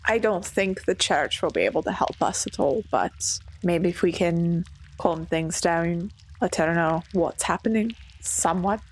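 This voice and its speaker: Female tabletop player, somewhat low pitch, improvised role-play and narration. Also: unhurried.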